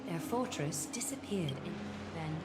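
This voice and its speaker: A woman's voice: lowish voice, expressive, very noisy. Poised regal seer lady, roomy echo. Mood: composed. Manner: formal, measured, gracious speech. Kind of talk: scripted video game voice acting. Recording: roomy echo under loud game music.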